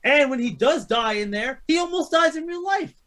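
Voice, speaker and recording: A man's voice: very high-pitched, lively intonation, moderate pace, a bit bright. A man co-hosting a casual movie chat, slangy and exasperated, with some swearing. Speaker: podcast co-host.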